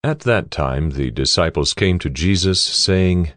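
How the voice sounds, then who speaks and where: A man giving scripted narration for a dramatized scripture reading in a measured, solemn storytelling manner. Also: low voice, animated, fairly bright tone, dry recording, clean studio sound.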